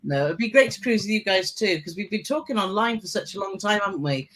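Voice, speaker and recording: A woman's voice: mid-range voice, expressive, fast talker, fairly bright tone. A British woman, a cruise vlogger guest, chatting and often asking her husband for agreement. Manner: chatty, asks for agreement with tag questions. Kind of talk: casual live-stream chat.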